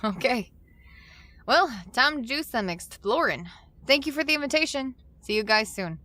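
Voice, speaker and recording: An actress playing an awestruck, curious newcomer in a sci-fi audio drama, speaking in a hesitant, conversational way. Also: female voice, fairly high pitch, animated, moderate pace, pushed and bright. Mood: amazed.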